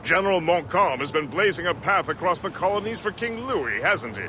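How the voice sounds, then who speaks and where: A jovial middle-aged ship's mate, a little drunk and fond of teasing. His fairly high voice swoops freely up and down in wordy, jocular game dialogue. There is clear outdoor noise behind him. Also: lively intonation, a little fast. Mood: wry. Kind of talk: video game voice acting.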